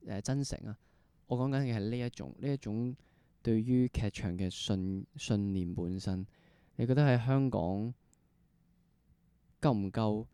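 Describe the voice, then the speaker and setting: A man's voice: lowish voice, unhurried, long pauses, mellow, clean tone, dry recording. A man with a Hong Kong Cantonese accent interviewing conversationally, feeling his way through long, rephrased questions. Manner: exploratory, rephrases questions at length. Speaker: interviewer, podcast host.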